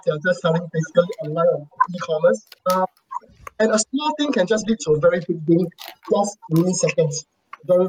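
A man with a high-pitched voice gives his view on an online panel. His phrasing is halting and unscripted, and there is noise with clicks on the recording. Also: darkish tone. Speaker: panelist on e-commerce. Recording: clearly noisy signal with clicks.

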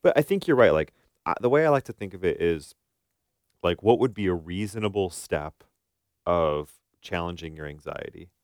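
A man's voice: deep voice, lively intonation, moderate pace, darkish tone, no room sound, studio quality. A man co-hosting a podcast in casual conversation, reflective and informally qualifying his points. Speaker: podcast co-host, therapist.

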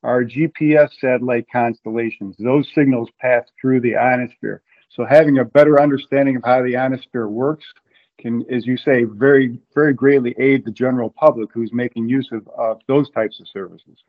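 A man's voice: mid-range voice, fairly even intonation, normal speed, phone-quality sound. A man from Ohio, a guest in an interview, explaining at length with frequent restarts. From Ohio, USA.

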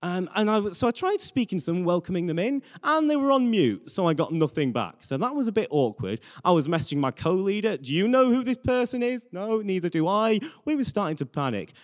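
A man's voice: sits high, sing-song, a little fast, hardly any pauses. A man preaching a sermon with personal anecdotes, earnest and repeating phrases for emphasis. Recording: reverberant hall.

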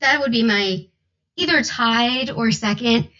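A woman's voice: fairly high pitch, unhurried, pushed and bright, dull audio. A woman narrator with a young-sounding voice who chats casually, laughing often and using lots of fillers. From New Jersey. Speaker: audiobook narrator guest. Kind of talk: casual podcast interview. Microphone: home recording booth.

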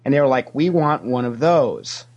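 A man's voice: mid-range voice, big pitch swings, moderate pace, vocal fry, a little mellow, lo-fi sound. A man giving casual, anecdotal answers as a podcast guest, a talkative storyteller who acts out what people said. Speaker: podcast guest, former theme park character actor.

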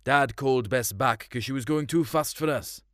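A man's voice: medium pitch, moderate pace, fairly bright tone, no echo. Man reading a story, close and dry. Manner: colloquial, confiding storytelling. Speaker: short story reader. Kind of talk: dramatic first-person story narration in colloquial voice.

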